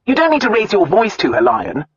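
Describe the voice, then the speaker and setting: A young gentleman, voiced by a male narrator, speaks in a raised, teasing tone that turns soothing. His voice sits a little high and husky, in a dry, slightly dull recording. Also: huge pitch swings, brisk, no echo, heavily acted. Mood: teasing, tender. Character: amused, tender young gentleman suitor.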